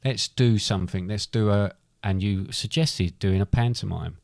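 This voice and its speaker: Low-voiced British man, very dry close sound. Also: normal speed, gravelly, darkish tone, no echo. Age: middle-aged. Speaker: podcast co-host. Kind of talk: casual chat.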